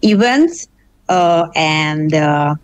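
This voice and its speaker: A middle-aged woman from Hungary with a Hungarian accent, a guest in an interview who explains her views carefully and pauses often on "uh" while searching for words. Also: deep voice, expressive, dry recording. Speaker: interview guest, gamelan singer. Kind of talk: thoughtful interview answers.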